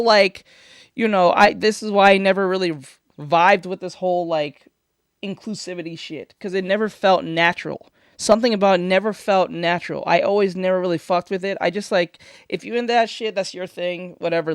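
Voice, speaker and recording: A man's voice: sits high, smooth, dry recording, clean studio sound. A man streaming casual reaction commentary, rambling and opinionated with lots of swearing and restarts. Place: foam-treated home studio. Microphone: studio microphone.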